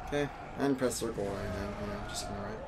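A man's voice: on the low side, rapid-fire. A man giving casual, grumbling, sarcastic let's play commentary as he plays a video game. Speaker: gaming video host playing a boss fight. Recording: clear background game noise. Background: video game sound.

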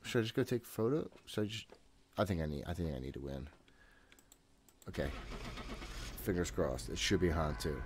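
A man's voice: sits low, lively intonation, moderate pace, pauses often, slight background noise. A man in his thirties giving casual, jittery, profane gameplay commentary, often talking to himself. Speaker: gaming host. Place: room at home.

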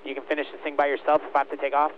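A man's voice: a little high, completely flat delivery, racing, narrow, tinny audio, slight background noise. A man working as a race spotter, speaking in short, repeated commands over a team radio. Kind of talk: urgent radio spotting calls to a driver.

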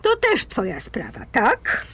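An actress playing a suspicious, fed-up woman in an audio drama, sarcastic and cutting with drawn-out emphasis. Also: on the high side, huge pitch swings, pushed and bright, husky, heavily acted. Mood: bitterly sarcastic. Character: fed-up, suspicious woman confronting her unfaithful partner.

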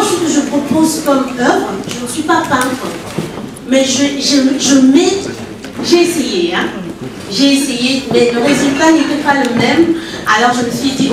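A woman in her thirties, from Congo, gives an earnest, halting talk to a small audience about her business, with many restarts and fillers. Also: sits high, a crowd in the background. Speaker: event organizer presenting her art business. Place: ornate lounge with red walls, indoors. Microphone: handheld mic, filmed from far back. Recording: echoey room, distant camera, background noise.

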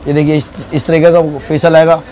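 A man's voice: on the high side, flat delivery, fairly quick, a little mellow, slight background noise, poor audio. A middle-aged man with a Delhi Hindi accent showing his wholesale stock and quoting prices, agreeing again and again. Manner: keeps agreeing, "bilkul, bilkul". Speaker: shopkeeper. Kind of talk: casual sales talk. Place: small crowded wholesale shop, indoors.

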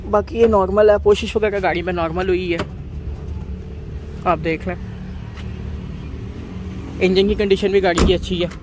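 A man walking viewers around used cars at an outdoor bazaar, listing their features in a steady, repetitive way. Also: high voice, moderate pace, pauses often. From Pakistan. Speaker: car market video host. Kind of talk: walkaround car review for viewers. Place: outdoor car bazaar, dusty lot. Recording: outdoor market noise behind.

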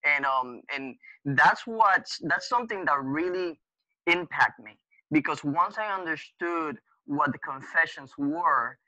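A man in his thirties whose first language is Spanish, talking as a podcast guest over a video call with many pauses and fillers. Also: a little high, lively intonation, pushed and bright, dry recording. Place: small room at home. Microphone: webcam video call. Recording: dull lo-fi webcam audio.

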